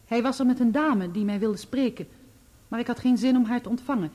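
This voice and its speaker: A woman's voice: on the high side, a little fast, warm tone, lightly acted. An actress playing a guarded, dignified woman witness in a Dutch radio play, answering with restraint and precision. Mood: composed, regretful. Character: composed, guarded woman witness testifying in court.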